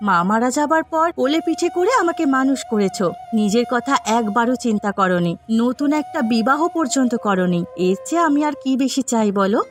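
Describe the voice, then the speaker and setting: A woman playing a gentle, devoted young daughter from a poor village family in a cartoon story, speaking soothingly. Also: on the high side, lively intonation, brisk, hardly any pauses, faint music behind, close to an everyday voice. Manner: soothing, tender. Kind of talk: dubbed cartoon story acting.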